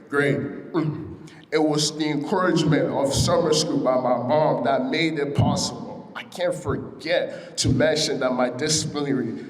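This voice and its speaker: A young man from Ghana, a student speaker, reading an earnest prepared speech at a ceremony with small stumbles. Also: on the high side. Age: young adult. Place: large hall at a school ceremony. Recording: echoey hall with long reverb.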